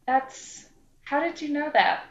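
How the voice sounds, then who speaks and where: A woman's voice: sits high, lively intonation, slow. A woman playing in a tabletop game, improvising role-play in a casual voice. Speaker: podcast host, player in a tabletop game. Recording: roomy, dull lo-fi mic, faint music.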